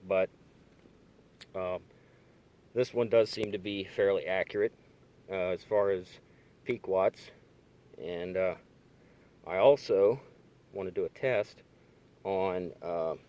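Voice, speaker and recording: Guy with a low voice showing off gear in his car, kinda halting and hedging, real casual. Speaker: hobbyist demonstrating radio gear. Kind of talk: casual hands-on demonstration. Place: inside a parked car. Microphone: handheld camera or phone.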